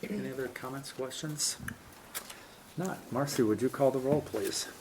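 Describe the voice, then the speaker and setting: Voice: medium pitch, moderate pace, heavy background noise. Plain-spoken mayor running a council meeting. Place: council chamber.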